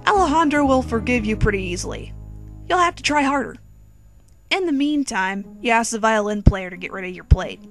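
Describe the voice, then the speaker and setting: Casting: female narrator, highish and very expressive, tongue-in-cheek dramatic reading of comic fan fiction. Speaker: narrator and reader. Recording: quirky music behind.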